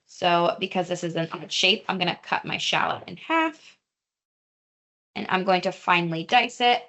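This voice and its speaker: A woman's voice: on the low side, moderate pace, a bit bright. Chatty woman teaching a cooking class. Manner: friendly, chatty, many fillers. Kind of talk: live online cooking demonstration. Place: home or teaching kitchen. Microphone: video call.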